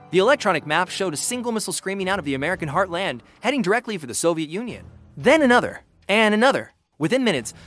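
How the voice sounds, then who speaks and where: A man's voice: sits high, fairly bright tone. A man reading scripted history narration in a grave, suspenseful, documentary storytelling manner.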